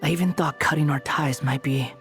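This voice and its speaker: An actor playing an aloof, sardonic young man in a video game, with a cool, smug, deliberate manner. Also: on the high side, steady tone, fairly bright tone, faint music behind, lightly acted. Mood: guarded, reflective.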